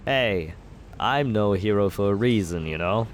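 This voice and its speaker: A man in an ASMR roleplay as a villain, talking smoothly and persuasively in a teasing, flirtatious way. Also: fairly low pitch, lively intonation, measured pace, slight background noise.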